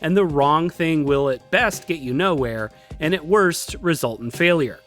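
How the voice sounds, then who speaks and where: A man's voice: on the high side, expressive, unhurried. A man narrating a scripted video lesson as presenter, emphatic and informal with playful exaggeration. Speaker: video essay presenter teaching game writing. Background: background music.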